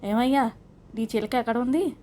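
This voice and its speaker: A wise, knowing guru from a children's storybook, voiced by a woman teaches and gently chides. The voice is mellow and smooth in tone rather than sharp, over faint noise. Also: on the high side, normal speed, natural voice. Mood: inquiring. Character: wise male religious guru, knowing and admonishing.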